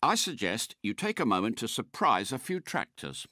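An actor playing a suave, courteous British spy car in a video game, a polite and unflappable mentor with refined, precise phrasing. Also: male voice, medium pitch, sing-song, normal speed, pushed and bright, no echo, clean studio sound. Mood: briskly instructive. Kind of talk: scripted game character voice lines.

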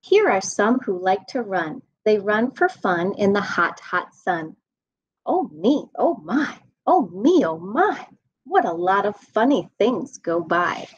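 Animated woman reading a children's storybook. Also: mid-range voice, breathy edge. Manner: expressive, deliberate sing-song rhyme reading. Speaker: school staff nutritional specialist reading a children's storybook. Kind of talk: read-aloud storytime for children. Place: indoor room at home. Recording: dull lo-fi webcam sound.

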